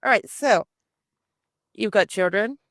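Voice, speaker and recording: A woman's voice: medium pitch, slow pace, no echo, clean studio sound. A woman hosting a podcast interview, chatty and thinking aloud. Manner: chatty, talks through ideas aloud. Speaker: podcast host. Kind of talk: casual interview chat.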